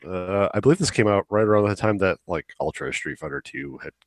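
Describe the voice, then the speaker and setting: A man's voice: low-pitched, brisk, no echo, clean studio sound. A man co-hosting a podcast, giving casual commentary in an informal, blunt and opinionated way. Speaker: podcast co-host.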